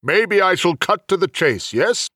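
A male narrator acts a genial being from another world who speaks with measured, apologetic courtesy. The voice sits fairly high for a man and moves in lively swings, in a dry storybook recording. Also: breathy edge, dry recording, full character voice. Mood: courteous. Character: polite, genial male otherworldly host in human guise.

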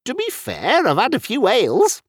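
A man voicing a tipsy, flippant wizard in a storybook, with a cheeky, wry, colloquial manner and a husky voice. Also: extremely high pitch, huge pitch swings, normal speed, dry recording, studio quality, voice pushed up, heavily acted. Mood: cheeky, bargaining. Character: tipsy, flippant male wizard, fond of ale.

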